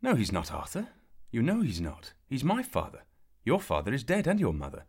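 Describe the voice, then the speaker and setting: A man's voice: medium pitch, big pitch swings, normal speed, slightly rough, voice pushed up. A man voicing a whiny, boastful teenage squire who keeps complaining. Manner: whining, complaining.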